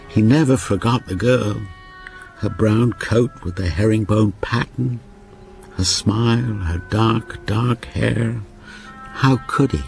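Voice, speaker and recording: Low, brooding old ghostly man. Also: gravelly, full character voice. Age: older. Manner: brooding, deliberate, with repeated broken phrases. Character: lonely, obsessive, sinister old railwayman ghost narrating his story. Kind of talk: radio drama monologue.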